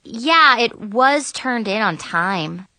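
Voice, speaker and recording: A woman's voice: fairly high pitch, animated, slow, pushed and bright, dry recording, exaggerated voice. A woman voicing a bossy, officious teenage girl in charge, firm and curt, in an audiobook.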